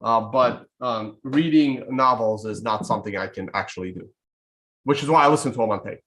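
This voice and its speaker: A man's voice: medium pitch, brisk, a bit bright. A man in his thirties whose first language is Russian, rambling off the cuff with many fillers and restarts while hosting a casual trading stream over a webcam video call. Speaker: trading show host. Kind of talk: casual live-stream chat. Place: home studio room, indoors.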